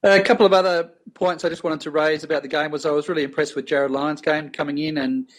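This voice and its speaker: A man's voice: a little high, level tone, quick pace. A man on a casual football chat, talking in an earnest, appreciative way. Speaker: podcast panelist.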